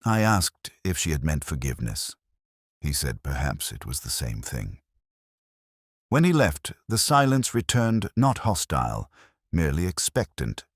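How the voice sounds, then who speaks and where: A man performs a melancholy, world-weary detective narrating his own case in a radio mystery. The voice is extremely low, with plenty of rise and fall across solemn, measured sentences. It is recorded dry and close, with a reflective, literary air. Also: sing-song, slightly rough, dry recording, full character voice. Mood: brooding, reflective. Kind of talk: scripted first-person narration of a brooding detective drama.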